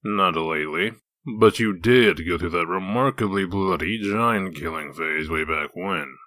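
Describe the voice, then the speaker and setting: A man playing a gruff, sardonic wolf detective in human form, dry and needling, in a comic dub. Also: low voice, unhurried, pushed and bright, dry recording. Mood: dry, probing. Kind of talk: comic dub dramatic acting.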